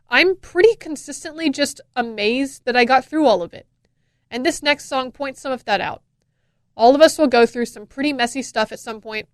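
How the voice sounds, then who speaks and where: A young woman with an American accent hosts radio in a high, bright voice. She chats casually and with plenty of enthusiasm. The sound is dry and close, straight from a studio microphone. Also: no echo. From Florida, United States. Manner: enthusiastic, chatty. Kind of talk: upbeat casual radio DJ talk.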